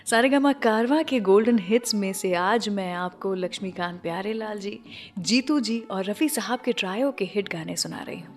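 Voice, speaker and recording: A woman's voice: medium pitch, moderate pace, faint music behind. A woman hosting a radio show, presenting in a conversational way and speaking directly to listeners. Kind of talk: scripted radio show presenting with film music trivia.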